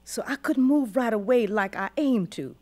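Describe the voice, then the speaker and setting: A woman's voice: on the high side, expressive, moderate pace, darkish tone, close to an everyday voice. An actress playing a weary, proud old Southern grandmother who was once enslaved, telling her story in heartfelt folksy dialect for a radio drama. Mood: grave, remembering.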